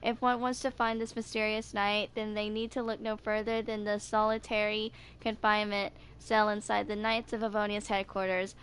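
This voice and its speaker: A woman's voice: medium pitch, little pitch movement, moderate pace, hardly any pauses, a bit bright, clear, resonant tone. A woman in her twenties chatting casually and reading video game lore aloud as a gaming creator. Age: 20s.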